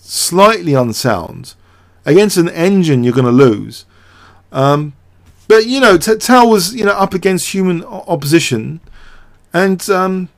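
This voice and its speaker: A man with a somewhat high-pitched voice hosts an analytical commentary. He works things out aloud without a script, hesitating and repeating words as he goes. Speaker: host of a chess show. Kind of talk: off-the-cuff game commentary and analysis.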